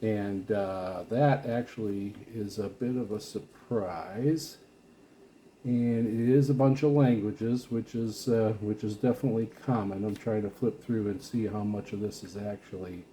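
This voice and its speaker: A man giving casual, unscripted product commentary as a video host, rambling haltingly and often repeating and restarting his phrases. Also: on the low side, warm tone, slight background noise. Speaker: video host reviewing radio gear.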